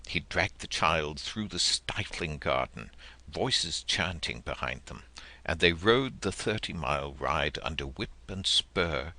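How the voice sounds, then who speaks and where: A man reading a literary story as an audiobook narrator, in a measured, expressive, dramatic manner. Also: a bass voice, creaky.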